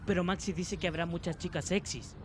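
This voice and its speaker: A man as a high-voiced, cheeky teenage boy. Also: steady tone, a little fast, natural voice. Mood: eager. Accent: Latin American. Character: cheeky, eager, girl-hungry teenage boy. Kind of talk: amateur fandub acting. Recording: clear background noise.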